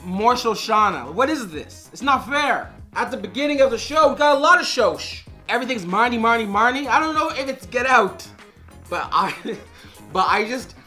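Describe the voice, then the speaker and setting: Raspy sick guy doing a show review vlog on a camera mic, bright voice, keeps restarting, faint music behind. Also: high voice. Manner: animated, rambling, with frequent restarts. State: hoarse, losing his voice from being sick. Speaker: TV review vlogger. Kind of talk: casual opinionated review to camera. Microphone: on-camera shotgun mic.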